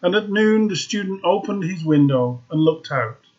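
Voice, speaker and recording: A man reading a fairy tale aloud, slow and expressive with dramatic emphasis. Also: high-pitched, highs cut off. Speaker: storyteller.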